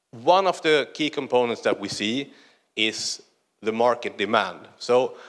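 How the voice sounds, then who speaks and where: A man with a Swedish accent addresses a big conference hall through a speech microphone. His voice sits at an ordinary middle pitch, and his delivery stays conversational, with plenty of "uh" pauses between phrases. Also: fairly bright tone. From northern Sweden. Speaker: conference speaker. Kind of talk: conference presentation. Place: large conference hall stage.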